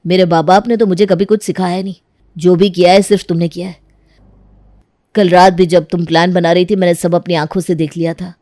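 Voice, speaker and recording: A woman's voice: lowish voice, little pitch movement, brisk, dark tone, no room sound, studio quality, lightly acted. A woman as a stern, reproachful young husband. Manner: reproachful, firm. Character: stern, disillusioned young husband and protective son. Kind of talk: Hindi animated moral-story dramatization.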